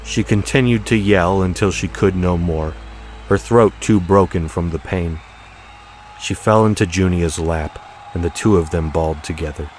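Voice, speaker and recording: Low-voiced man narrating dramatically. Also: normal speed, a little mellow, a little hiss or hum. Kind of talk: dramatic scripted audiobook narration. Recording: music behind the voice.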